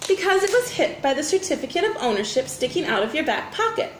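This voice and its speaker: A methodical, self-important boy detective from a storybook, read by a woman. The voice is fairly high and very animated, explaining emphatically in an echoey room. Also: quick pace. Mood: confident. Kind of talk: storybook character dialogue. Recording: roomy echo, faint background noise.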